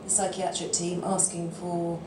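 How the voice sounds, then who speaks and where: A woman's voice: lowish voice, monotone, a little slow. A woman doctor asking a question from the audience, hesitant with many fillers. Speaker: general practitioner asking a question. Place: lecture room audience. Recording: roomy hall with clear background noise.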